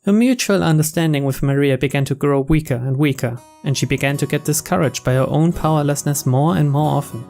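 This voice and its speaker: Man, slightly high voice, scripted story narration, visual novel read-aloud, faint instrumental music behind. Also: brisk, gravelly, few pauses, darkish tone. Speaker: let's play narrator reading game text aloud.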